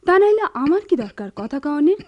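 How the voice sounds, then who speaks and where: A woman's voice: sits high, big pitch swings, fairly quick. A woman playing a devoted younger sister in a radio drama, fretting and scolding plaintively in a rural Bangladeshi dialect. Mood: fretful, plaintive. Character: devoted, fretful younger sister in village household. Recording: dull, lo-fi radio recording.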